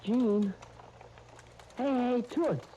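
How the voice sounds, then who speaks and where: Cheeky high-voiced boy, bright 1930s radio. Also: very slow, muffled recording. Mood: nosy, teasing. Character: teasing, cheeky young twin brother. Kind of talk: 1930s radio serial acting. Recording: noisy 1939 radio disc recording.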